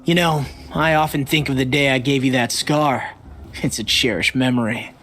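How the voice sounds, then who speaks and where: A man's voice: medium pitch, fairly even intonation, moderate pace, a bit bright, slight background noise, close to an everyday voice. Guy voicing cocky young bully in a game, mid voice, mocking, faint ambience behind. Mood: boastful, sneering. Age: young adult. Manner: sneering, mocking. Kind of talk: video game voice acting.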